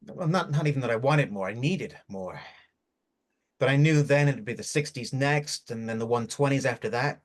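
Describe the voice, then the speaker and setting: An actor playing a bitter, worn-out addict in despair in a drama reading, agitated, defensive and stumbling over words. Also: male voice, mid-range voice, moderate pace, close to an everyday voice. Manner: agitated, defensive, stammering, swearing. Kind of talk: script reading of a stage drama.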